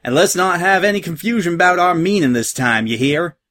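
A man voicing a prickly, rough-and-tumble female pony pirate with a rural American twang, brash and blunt, in an audio story. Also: sits high, expressive, a little fast, fairly bright tone, voice pushed up. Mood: commanding.

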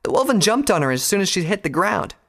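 Man voicing a brash, high-pitched pegasus pony girl. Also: huge pitch swings, fast, fairly bright tone, heavily acted. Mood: angry. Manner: boastful, heated. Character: brash, defiant young female pegasus pony fighter. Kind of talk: dramatised fan fiction reading.

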